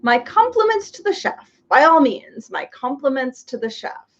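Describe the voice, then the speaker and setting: A puffed-up, long-winded male bug from a storybook, as a woman reader puts him on. He speaks in a high, swooping voice, fussy and self-important. The recording sounds dull and lo-fi. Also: sing-song, moderate pace. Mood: pompous. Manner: grandiloquent, agrees with everyone. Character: pompous fussy male humbug insect, wordy.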